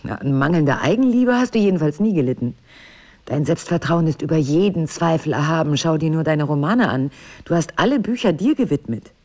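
Wistful, ironic wife, lower-pitched, lively melodic delivery, teasing, tender, intimate radio play. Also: female voice, brisk. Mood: reassuring, teasing. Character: wry, tender wife of amnesiac husband, quietly wistful. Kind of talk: intimate radio drama acting.

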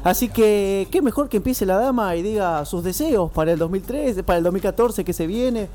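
A man's voice: high voice, lively intonation, moderate pace, warm tone. A man with an Argentine accent hosting a radio show in casual, joking chat, teasing his guests. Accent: Argentine Spanish. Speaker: radio host.

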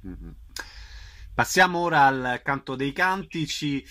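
Male host with an average-pitched voice, conducting a conversational interview. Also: takes it slowly, pushed and bright. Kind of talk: conversational radio-style interview.

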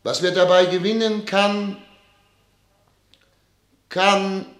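A troubled ruler in a radio play. He declaims very slowly, with weighty pauses and grand, remorseful gravity. The words ring through a long, echoing hall. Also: high-pitched, flat delivery, a bit bright. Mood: grand, bitter. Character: troubled male head of state, grandiose and remorseful. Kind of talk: theatrical German radio drama acting.

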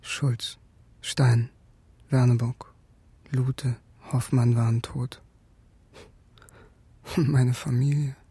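A man playing a war-worn, stoic sergeant in a radio drama, telling his story in terse, measured, sober words. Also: medium pitch, little pitch movement, vocal fry, warm tone, slightly rough, dry recording, full character voice. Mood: bleak, resigned. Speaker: defendant and narrator. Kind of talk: German radio drama acting, first-person recollection.

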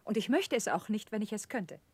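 An actress playing a devoted, genteel married lady, sentimental and fervent, with a husky voice, in a 1960s radio drama. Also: mid-range voice, lively intonation, brisk, no room sound. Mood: tearful farewell.

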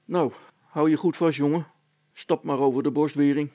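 A man voicing a practical, plain-spoken father in a storybook, with a brisk, informal manner. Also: on the high side, fairly even intonation, warm tone, no echo, pitched above the performer's own voice, lightly acted.

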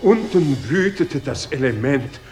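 A man's voice: fairly high pitch, expressive, measured pace, a little mellow. A man narrating a literary classic in a scripted, dramatic radio-play style. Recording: faint music behind the voice.

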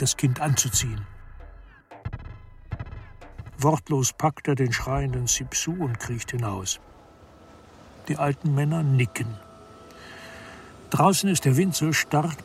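A male narrator reads a literary radio play in a medium-pitched voice. His phrasing is deliberate and dramatic, with music faintly behind him.

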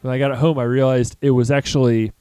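A man's voice: medium pitch, flat delivery, fast talker, dark tone, clean tone, no room sound, faint music behind. A man chatting casually in a matter-of-fact way. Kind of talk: casual chat.

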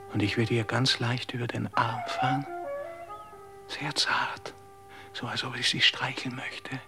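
An actor in a radio drama playing a distraught man who searches for his hidden little sister, talking in hushed whispers. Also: mid-range voice, close to an everyday voice.

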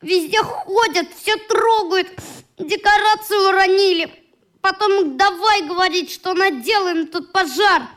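A woman's voice: extremely high pitch, unhurried, a bit bright. A woman playing an earnest, indignant little boy in a radio play, reporting in an artless, aggrieved way. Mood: aggrieved, eager.

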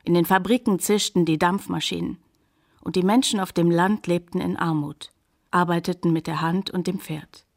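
An actress playing a scientist's devoted daughter, narrating a radio drama in a measured, deliberate, poetic way. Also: female voice, lowish voice, fairly even intonation, gravelly, a little mellow. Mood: reflective.

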